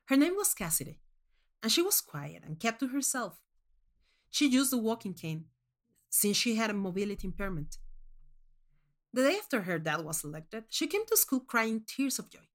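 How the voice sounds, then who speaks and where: A woman narrating a first-person short story in an expressive, measured storytelling manner. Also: fairly high pitch.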